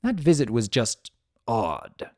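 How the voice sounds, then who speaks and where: A man's voice: medium pitch, huge pitch swings, slow, no echo, studio quality, exaggerated voice. A man voicing a vain, spiteful spirit of chaos trapped as a statue, telling a story in a theatrical, sardonic, disdainful way. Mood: disdainful, mocking. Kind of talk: first-person dramatic fan fiction reading.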